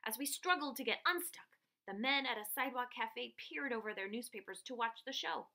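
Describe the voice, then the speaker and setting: A woman reading a picture book aloud to children like a teacher, with expressive, dramatic emphasis on key phrases. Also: fairly high pitch, fairly quick.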